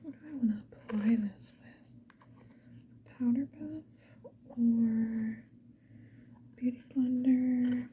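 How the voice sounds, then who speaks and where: Woman, ASMR whisper, casual unhurried chat, very mellow tone, muffled lo-fi sound, faint hiss. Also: on the high side, fairly even intonation. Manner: soft whispering, unhurried musing to the viewer. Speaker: ASMR video creator.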